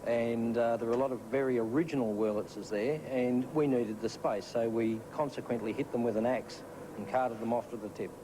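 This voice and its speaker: A man's voice: medium pitch, steady tone, a little fast, background noise. A man with an Australian accent giving unscripted answers in an interview. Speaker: interviewee, jukebox business owner. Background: music.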